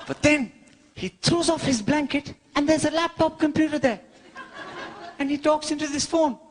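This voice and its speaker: A man from India with an Indian accent performing a cheeky, wry stand-up routine, pausing for his punchlines. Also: very high voice, slight background noise. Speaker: stand-up comedian. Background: audience laughter.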